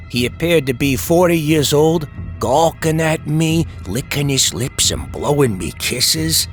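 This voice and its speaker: A man playing a streetwise narrator who dramatically recounts a frightening boyhood night, with wry asides. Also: fairly high pitch. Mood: tense, suspenseful. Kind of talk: dramatic first-person horror storytelling.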